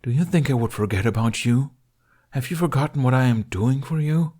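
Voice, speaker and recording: A man's voice: mid-range voice, brisk, voice pushed up, close to an everyday voice. A man voicing a proud, brooding male dragon burdened by shame, speaking tersely in an audiobook story. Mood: indignant. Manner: growling, terse, monotone.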